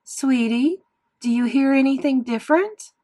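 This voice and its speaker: A woman's voice: high voice, measured pace, mellow, pitched above the performer's own voice. A woman voicing a caring, emotional mother in a storybook read-aloud. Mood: tender, eager.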